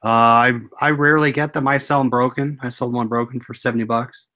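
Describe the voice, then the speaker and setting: Relaxed, matter-of-fact man co-host. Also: medium pitch, a little fast. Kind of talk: casual livestream chat.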